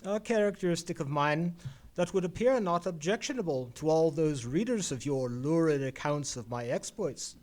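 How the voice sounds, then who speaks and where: A man's voice: on the high side, expressive, moderate pace, darkish tone, natural voice. An actor playing an erudite, world-weary male detective in an old-time radio play, with a pedantic and eloquent manner. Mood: pedantic, absorbed. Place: live performance in a theatre.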